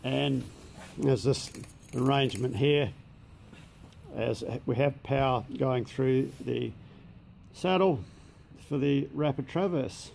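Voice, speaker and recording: A man's voice: mid-range voice, slow pace, vocal fry, long pauses, darkish tone, slight background noise. An Australian man who runs a machine shop, giving a casual, matter-of-fact walkthrough in a large indoor workshop shed. He speaks plainly, uses local slang, and is recorded on a handheld camera mic.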